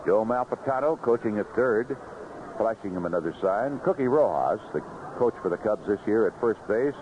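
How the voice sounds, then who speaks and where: Male baseball announcer, live play-by-play, very lively intonation, dull and noisy old radio sound. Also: on the low side, normal speed. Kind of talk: live play-by-play sports commentary. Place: outdoor ballpark broadcast booth. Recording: noisy muffled vintage 1970s radio broadcast.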